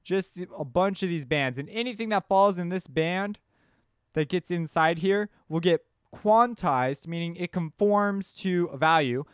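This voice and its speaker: A man's voice: sits high, measured pace, smooth, no echo, clean studio sound. A man giving a casual, informal tutorial, explaining things as he thinks aloud. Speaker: music production tutorial instructor. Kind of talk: casual explanatory screencast narration.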